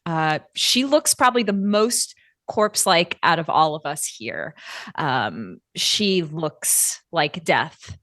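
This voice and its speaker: A woman's voice: deep voice, very animated, takes it slowly, fairly bright tone, no echo, clean studio sound. A woman playing a tabletop roleplaying game, casually describing a character while searching for her words and saying "like" often. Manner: hesitant, searching for words, frequent "like". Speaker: player in a tabletop roleplay show.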